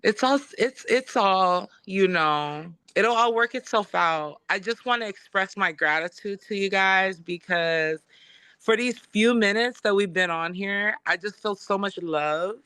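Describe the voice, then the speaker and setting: A woman's voice: mid-range voice, a little slow, bright, forceful tone, clear, resonant tone, no echo, low-quality recording. A woman from Samoa hosting a casual podcast talk, effusive and spiritual, with lots of fillers. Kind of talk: casual conversation.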